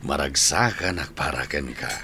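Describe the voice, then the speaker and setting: A man's voice: extremely low pitch, normal speed, bright, forceful tone, a little hiss or hum, heavily acted. An actor with an Ilocano Filipino accent playing a mild, supportive middle-aged father in a radio drama. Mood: proud, tender.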